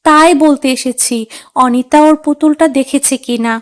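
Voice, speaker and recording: Woman voicing a high-pitched cartoon mother fox. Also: fairly even intonation, moderate pace, lightly acted. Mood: worried. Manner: reproachful, fretful, motherly. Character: stern but caring mother fox. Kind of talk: children's cartoon dubbing. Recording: faint music behind.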